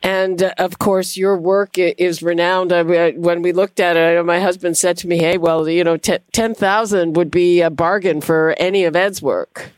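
A woman's voice: on the low side, steady tone, moderate pace, hardly any pauses, clean tone, no echo. Woman, interviewer, conversational, some hesitations, dry sound. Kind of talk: broadcast interview.

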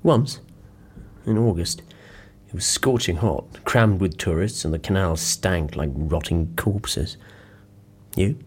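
An actor playing a blunt, dry office colleague with a husky, rough voice, in a naturalistic audio drama. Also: male voice, sits low, slow, a little hiss or hum. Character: dry, grumbling office colleague telling anecdotes.

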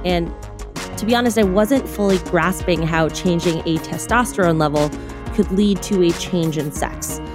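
A woman's voice: fairly low pitch, over music. A woman narrating a video news report in a scripted, earnest, explanatory way. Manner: earnest, measured explanatory delivery.

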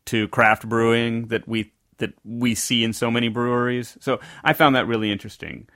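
A man's voice: medium pitch, fairly even intonation, normal speed, a bit bright, no room sound, studio quality. A man from the American South, co-hosting a casual podcast and telling a rambling story that often circles back to correct itself. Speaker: podcast co-host. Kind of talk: casual conversational podcast chat.

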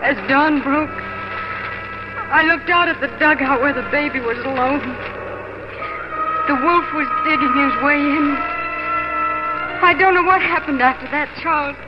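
A woman's voice: high-pitched, measured pace, pauses often. An actress playing a devoted, easily frightened young pioneer wife and mother in a theatrical, earnest 1940s radio drama style. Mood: terrified. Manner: earnest, theatrical letter narration. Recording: muffled 1940s radio broadcast with music.

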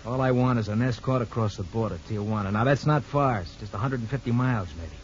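A sneering, bullying crook from a 1950s radio play, acted by a man. He needles in slangy, threatening talk with a medium-pitched voice. The old recording is muffled with faint hiss. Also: fast talker, lightly acted. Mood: menacing, insistent. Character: menacing, sneering criminal ex-lover, blackmailing tough guy. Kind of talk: 1950s radio drama acting.

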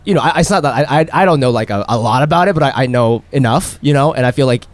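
A man hosting a casual interview chat, feeling his way haltingly through his questions. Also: mid-range voice, animated, no room sound.

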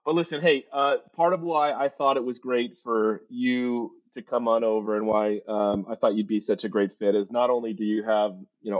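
A man's voice: lowish voice, dull audio. A man hosting an interview as a company leader, upbeat and conversational, with many fillers like "um" and "you know".

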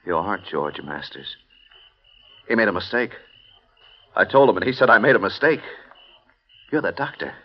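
An actor playing a panicky, paranoid escaped mental patient in an old radio drama, shouting accusations. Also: male voice, on the low side, big pitch swings, normal speed, long pauses. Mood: frantic. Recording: dull old radio recording.

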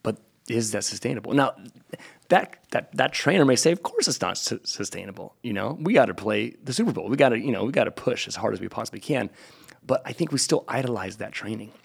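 Husky, gravelly low-voiced man. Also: expressive, fast talker. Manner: agreeable, frequent short affirmations. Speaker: podcast co-host. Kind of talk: casual podcast conversation.

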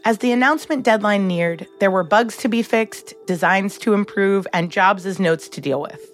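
A woman's voice: mid-range voice, normal speed. Woman narrating a documentary over music. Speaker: podcast narrator and host.

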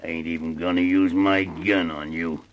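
A man's voice: sits low, flat delivery, moderate pace, lo-fi sound, close to an everyday voice. An actor playing a menacing, gleefully brutal henchman in a 1950s radio crime drama, taunting in tough-guy slang on an old, noisy recording.

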